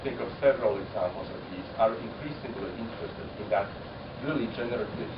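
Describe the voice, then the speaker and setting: Man, Polish native, lower voice; reflective panel answer, halting; distant echoey room recording, dull and noisy. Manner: thoughtful, halting, circling back to rephrase. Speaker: poet-translator. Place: university common room.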